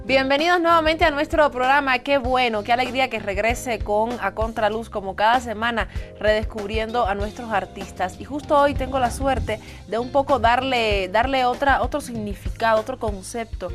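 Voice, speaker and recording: A woman hosts a television interview show, her delivery polished and presenter-like as she introduces and questions her guest. She talks in a quick, conversational flow, with faint music under her voice. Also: fairly high pitch. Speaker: TV show host. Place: television studio.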